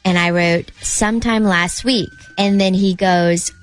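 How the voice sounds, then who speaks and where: A woman's voice: medium pitch, steady tone, unhurried, faint music behind. A woman co-hosting a radio show, reading out and relaying messages on air.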